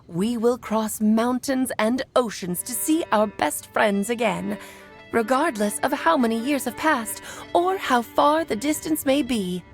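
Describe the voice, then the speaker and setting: Playful, worldly mage with lilting high voice. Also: female voice, a little mellow. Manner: theatrical, eloquent, teasing. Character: playful, worldly elder mage and doting mother. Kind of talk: scripted video game voice acting.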